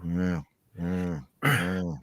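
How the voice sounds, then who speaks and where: A man's voice: low-pitched, extremely slow, fairly bright tone, dry recording. A man in his fifties hosting a casual livestream chat, reading viewer comments in a rambling, profane way with frequent laughter. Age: 50s. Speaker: podcast host.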